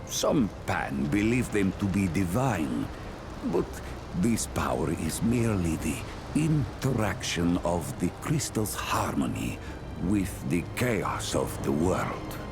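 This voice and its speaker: A wise otherworldly trader for a video game. He talks slowly and solemnly in archaic, storytelling phrases, with a deep voice that rises and falls widely. Ambient noise sits behind him. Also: very animated, gravelly, music in the background, full character voice. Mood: reverent, explaining. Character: male Pan trader from a jungle world, solemn and wise. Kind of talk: video game character monologue.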